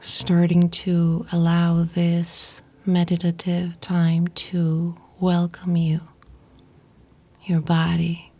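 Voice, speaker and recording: Very slow, breathy, whispery woman guiding meditation. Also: fairly low pitch, monotone, faint music behind. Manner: soothing, unhurried, pausing between short phrases. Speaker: yoga nidra guide. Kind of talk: guided meditation narration.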